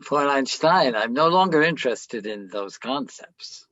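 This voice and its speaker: An actor playing an older, self-absorbed philosophy professor in a radio play, lecturing pompously and haltingly. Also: male voice, medium pitch, animated, a bit bright, no room sound, muffled recording. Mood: indifferent.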